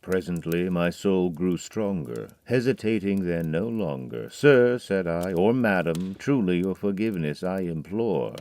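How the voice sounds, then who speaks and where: A man giving a brooding, measured dramatic reading of a poem, dropping to a hush on key words. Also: sits low, no echo. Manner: measured, brooding recitation with hushed drops. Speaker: narrator.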